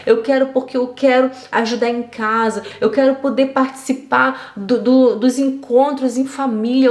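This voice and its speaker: A woman teaching German online, talking straight to the viewer in an earnest, encouraging way. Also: fairly high pitch. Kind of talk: motivational online lesson. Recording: echoey room.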